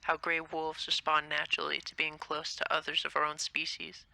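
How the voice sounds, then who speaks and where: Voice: medium pitch, monotone, moderate pace, gravelly, pushed and bright, no room sound. A man voicing a scheming young female gray wolf, confident and covetous, musing in a screenplay reading.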